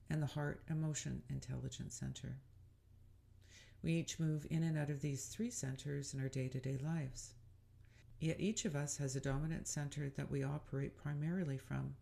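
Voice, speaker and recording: A woman leading a gentle guided meditation in a calm, soothing, unhurried and inviting way. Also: deep voice, creaky.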